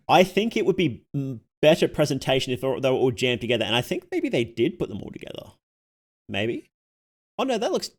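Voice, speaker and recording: A man with a very lively, mid-pitched voice gives casual commentary as a livestream host. He rambles informally and uses plenty of slang, his intonation moving freely up and down. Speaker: livestream host reacting to horror videos.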